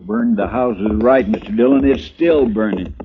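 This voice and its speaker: A man's voice: medium pitch, moderate pace, a little hiss or hum, lightly acted. A man playing a folksy, deferential deputy with a drawling rural American accent in a 1950s Western radio drama. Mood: uneasy, shocked. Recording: muffled, thin vintage radio recording.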